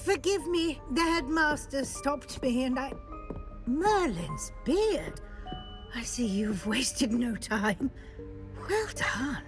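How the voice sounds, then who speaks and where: A woman playing a kindly, brisk middle-aged witch professor in a video game, articulate and encouraging. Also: high-pitched, big pitch swings, over music, full character voice. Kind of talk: video game character acting.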